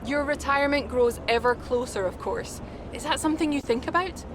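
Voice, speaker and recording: An actress playing a brisk, professional trackside TV reporter asking post-race questions in a video game. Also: female voice, high-pitched. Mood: brisk, inquiring. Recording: outdoor trackside background noise.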